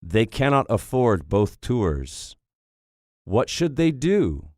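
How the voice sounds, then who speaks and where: A man narrating English textbook listening tracks in a slow, clearly spoken way. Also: mid-range voice, big pitch swings, darkish tone, no echo, studio quality. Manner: slow, clearly articulated announcing.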